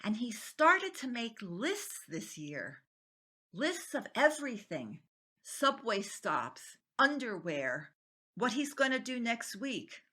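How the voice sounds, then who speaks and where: A woman's voice: on the high side, very animated, takes it slowly, sharp tone, breathy edge, full character voice. A woman performing a stage monologue as a feisty, nonconformist out-of-work writer, talking in an emphatic, impassioned way. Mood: wry, exasperated. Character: unemployed nonconformist writer pleading to keep beloved nephew, feisty. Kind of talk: dramatic stage monologue reading.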